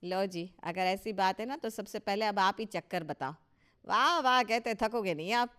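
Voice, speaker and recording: A woman's voice: mid-range voice, normal speed, pushed and bright, clear, resonant tone, close to an everyday voice. A woman playing a proud, touchy older mother-in-law in a Hindi cartoon story, emphatic and boastful with a scolding edge. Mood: offended.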